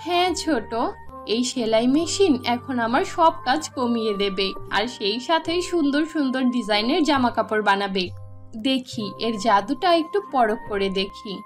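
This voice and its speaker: A woman's voice: fairly high pitch, animated, measured pace, soft background music. A woman voicing a kind, patient young seamstress, polite and earnest, in a children's cartoon. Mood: pleased.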